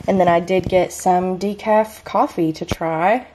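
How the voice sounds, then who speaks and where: A young woman in her twenties chatting casually about her groceries in a home kitchen, bubbly and quick to laugh. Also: on the low side, steady tone, background noise. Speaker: lifestyle vlogger. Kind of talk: casual grocery haul chat.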